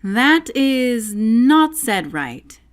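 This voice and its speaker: A woman's voice: fairly high pitch, very animated, very slow, pitched above the performer's own voice, exaggerated voice. A woman voicing a curt, irritable, contradicting male caterpillar for a storybook, with a terse, decided manner.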